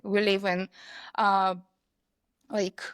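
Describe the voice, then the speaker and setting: A woman from Belarus with a Belarusian accent, a podcast guest speaking English hesitantly with many pauses for words. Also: medium pitch, level tone, clear, resonant tone, no echo, clean studio sound. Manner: hesitant, searching for words, frequent uhs. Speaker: guest, agency founder. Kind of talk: casual interview chat.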